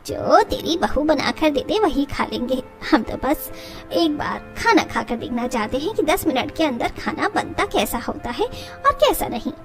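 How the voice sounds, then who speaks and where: Nosy middle-aged auntie, a woman's character voice, sceptical and pushy, swinging pitch, moral-story cartoon style. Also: sing-song, measured pace, hardly any pauses, faint music behind. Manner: pushy, challenging.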